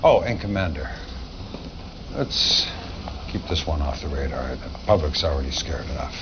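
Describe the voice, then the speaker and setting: An actor playing a grave, blunt military general with a folksy, confiding way of briefing a commander in a game. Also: male voice, extremely low pitch, animated, normal speed. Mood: grave concern. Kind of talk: live-action game cutscene briefing. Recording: dull lo-fi audio with clear noise.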